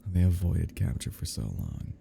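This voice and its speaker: A man playing an obsessed, giddy and menacing crime scene cleaner in an intimate audio roleplay, rambling in a husky voice that drops into whispers. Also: deep voice, sing-song, moderate pace, warm tone, a little hiss or hum, full character voice. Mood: obsessed, admiring. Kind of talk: intimate ASMR-style dramatic roleplay.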